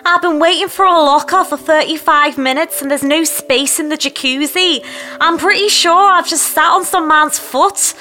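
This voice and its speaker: Lady putting on fed-up spa customer, high bright voice, moaning testimonial for a spoof ad. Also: normal speed, faint music behind. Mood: aggrieved. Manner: complaining. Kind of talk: scripted comedy advert testimonial.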